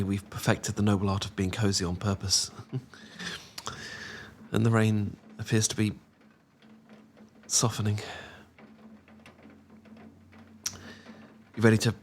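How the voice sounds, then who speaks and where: A man acting as a tender, bashful young British man in a soft-spoken ASMR romance audio drama. His voice sits fairly low, and he stammers and hesitates as he gently teases. A clear wash of rain runs behind him. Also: little pitch movement, normal speed, pauses often, a little hiss or hum, close to an everyday voice. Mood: shy, relieved. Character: shy, tender young man confessing love to old friend. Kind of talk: intimate ASMR boyfriend roleplay. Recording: clear background ambience of rain. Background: rain ambience.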